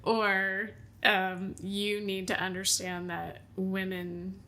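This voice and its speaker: A woman pastor with a deep, loud voice and an American accent, talking frankly and animatedly in a casual podcast conversation. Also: slow. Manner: frank, animated, laughs easily. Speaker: lead pastor.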